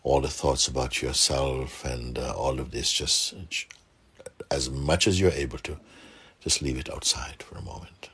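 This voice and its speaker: A man guiding a meditation for a group, speaking softly and calmly with gentle pauses and a husky, breathy voice. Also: rumbling low. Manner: unhurried, gentle pauses, calm instruction. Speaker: meditation guide. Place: room with a seated group, doors closed.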